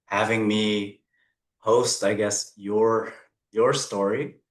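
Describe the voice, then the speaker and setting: A man hosting a podcast interview, speaking in a halting, earnest way with many restarts and fillers. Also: fairly low pitch, level tone, roomy sound. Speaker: podcast host, forest therapy guide. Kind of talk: casual interview intro.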